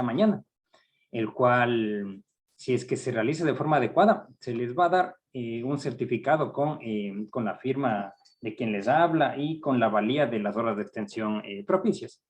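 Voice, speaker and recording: Middle-aged Ecuadorian man, university workshop leader; measured, halting delivery, mid-pitched. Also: a little mellow. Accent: Ecuadorian Spanish. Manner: measured, hesitant with frequent fillers. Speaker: university research director giving a workshop. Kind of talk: academic training seminar.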